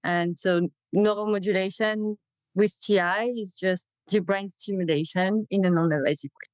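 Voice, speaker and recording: A woman researcher with a French accent answers interview questions in a plain, unhurried way, pausing now and then on small fillers. Her voice sits at a middle pitch and comes through close and dry. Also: fairly even intonation, a little mellow, dry recording. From France. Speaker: guest researcher.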